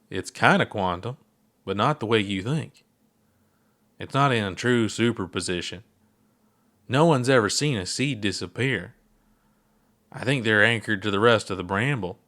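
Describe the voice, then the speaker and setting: A mellow, unbothered old space explorer from a storybook reading, voiced by a man. He talks in an easygoing drawl with a low, settled voice, never getting worked up. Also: unhurried. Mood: relaxed. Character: laid-back old alien explorer, unhurried and mellow.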